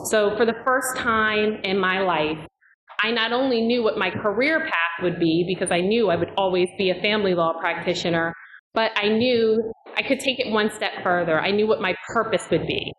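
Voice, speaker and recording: A middle-aged woman from Delaware, a candidate for attorney general, giving an earnest, measured opening statement about her life and career. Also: medium pitch. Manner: earnest, measured, personal storytelling. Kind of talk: prepared campaign opening statement. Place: fire company hall at a forum. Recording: echoey hall with background noise.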